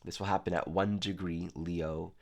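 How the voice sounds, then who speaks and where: A man with a congested, nasal voice giving a calm, earnest spiritual astrology talk. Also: low voice, normal speed, gravelly. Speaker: astrology teacher. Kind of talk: reflective spiritual talk to camera.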